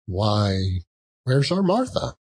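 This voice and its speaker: Guy doing a gentle loving poor dad from a storybook, really expressive, unhurried. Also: lowish voice, husky, full character voice. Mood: eager, then dismayed.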